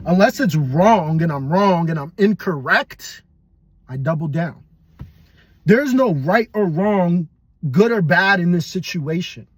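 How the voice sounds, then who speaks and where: Husky, high-pitched man talking fight picks. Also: lively intonation, slow pace, no echo. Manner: blunt, profane, rambling with restarts. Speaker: MMA podcast host. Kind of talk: casual fight predictions.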